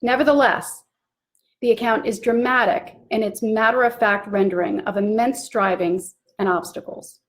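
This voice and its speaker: A woman presenting a formal scripted introduction to a reading, in an even, composed manner. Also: a little high, normal speed. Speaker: event presenter.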